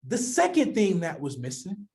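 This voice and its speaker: A man's voice: high-pitched, wildly swooping pitch, moderate pace, a little mellow. A man preaching a sermon in a conversational way, reading scripture aloud and then explaining it, often repeating his key phrases.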